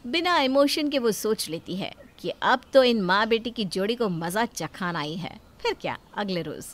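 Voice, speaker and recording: A woman's voice: mid-range voice, animated, moderate pace. A woman telling a scripted moral story as a dramatic narrator.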